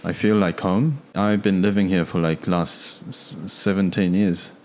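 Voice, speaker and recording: A man, a guest on a podcast, speaking softly in short replies. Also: deep voice, steady tone, measured pace, slight background noise. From Korea. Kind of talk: casual interview chat.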